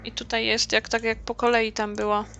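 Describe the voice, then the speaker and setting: A woman's voice: a little high, monotone, fast talker, bright, forceful tone, a little hiss or hum. A woman doing casual let's-play commentary, muttering to herself as she plays. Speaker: game streamer.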